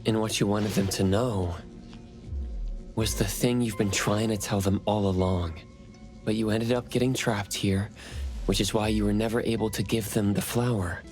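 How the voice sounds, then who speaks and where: A man's voice: lowish voice, fairly even intonation, moderate pace, a little mellow, soft background music, lightly acted. An actor playing an earnest, perceptive young male hero in a video game. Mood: earnest, coaxing. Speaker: main character. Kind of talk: English video game voice acting.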